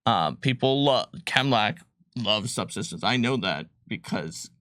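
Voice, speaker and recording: A man's voice: fairly low pitch, lively intonation, a little slow, fairly bright tone, dry recording, clean studio sound. A man chatting casually as a game designer answering viewer questions on a livestream, informal and rambling with jokey asides.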